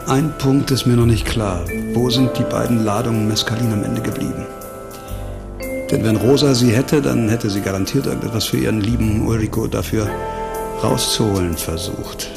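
Creaky-voiced, probing male investigator. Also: on the low side, moderate pace. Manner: terse, questioning. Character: weary, probing male investigator in a noir crime story. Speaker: actor in a radio crime drama. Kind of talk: German radio play acting. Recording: music under the voice.

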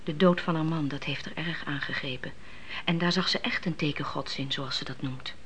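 An actress playing a wise, devout young wife in a biblical radio play, thoughtful, earnest and reassuring. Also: female voice, low-pitched, normal speed, a bit bright, slight background noise. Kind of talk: Dutch radio play acting.

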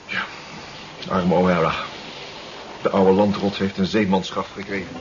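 A man's voice: low-pitched, flat delivery, normal speed, close to an everyday voice. A man playing an anxious, hesitant seafaring comrade in a 1970s radio drama, often repeating himself. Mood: dismayed. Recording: muffled 1970s radio recording, background noise.

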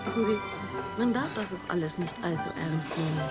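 A woman's voice: on the low side, a little fast, close to an everyday voice. An actress playing a cynical, mocking young woman student in a radio play. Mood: sardonic. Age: young adult. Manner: sardonic, mocking. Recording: radio play with music behind.

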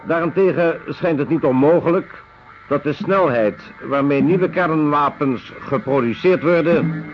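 An actor playing a sober reader who delivers grim facts formally and deliberately in a radio production. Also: male voice, a little high, natural voice. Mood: sober, factual. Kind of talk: formal scripted documentary-like reading. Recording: dull radio recording with music underneath.

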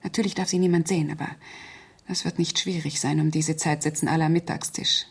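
Husky, controlled, calculating woman. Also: deep voice, steady tone, quick pace, exaggerated voice. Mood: cold, controlled. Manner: controlled, precise, commanding. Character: cold, calculating murderess posing as helpful household friend. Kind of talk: German radio crime drama acting.

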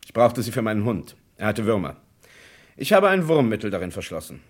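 A man's voice: fairly low pitch, sing-song, fairly quick. A man playing a stubborn army lieutenant under interrogation in a German radio crime play, clipped and defensive. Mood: defiant, terse.

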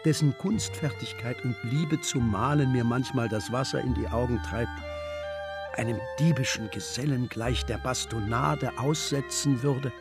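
An actor playing a stern, brooding master of court painters in a German radio play, speaking gravely and deliberately. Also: male voice, medium pitch, over music, lightly acted.

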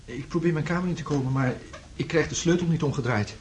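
A man's voice: a little high, fast, a little mellow, a little hiss or hum, close to an everyday voice. An actor in a radio play playing a confused, distressed man in love, speaking hesitantly. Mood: bewildered. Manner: hesitant, faltering.

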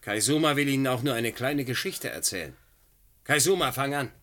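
Actor as stern man, middle pitch, determined, formal, cutting, radio play. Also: fairly quick, fairly bright tone, close to an everyday voice. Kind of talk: German radio play acting.

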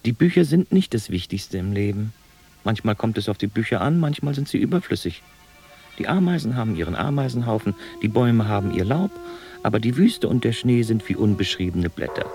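A man's voice: on the low side, sing-song, fairly quick, gravelly, a little mellow, faint music behind. An actor playing a grumpy, imperious god of fate in a radio play, pompous and scolding in his speech. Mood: lofty, admonishing.

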